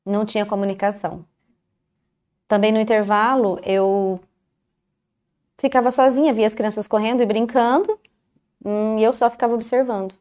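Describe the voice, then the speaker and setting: A woman recounting her own life story in a calm, measured way, pausing often between short phrases. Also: fairly high pitch. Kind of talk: first-person life story in a TV interview.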